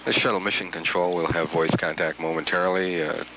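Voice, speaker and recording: Very low-voiced male mission control announcer. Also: fairly even intonation, bright, forceful tone. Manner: halting, frequent long hesitations. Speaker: mission control public affairs commentator. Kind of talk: measured live spaceflight commentary. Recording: noisy archival 1980s mission audio.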